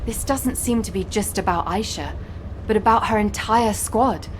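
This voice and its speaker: A woman's voice: medium pitch, moderate pace, background noise, natural voice. A woman playing an earnest, playfully teasing young operations officer in a sci-fi video game, speaking articulately and expressively. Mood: focused, professional.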